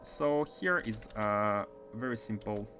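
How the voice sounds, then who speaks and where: Man, Russian-French accent, chatty gameplay commentary, lots of "uh", low pitch, keyboard typing behind. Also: slow, a little hiss or hum. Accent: mixed Russian and French. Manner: chatty, many fillers, bursts of excitement. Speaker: game streamer.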